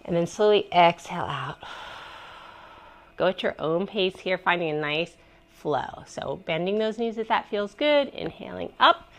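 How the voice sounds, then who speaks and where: A woman with a cold and a stuffy, congested voice, leading a gentle home yoga class with soft, encouraging cues and contented sighs. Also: fairly low pitch, expressive, measured pace. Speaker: yoga instructor. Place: messy living room at home.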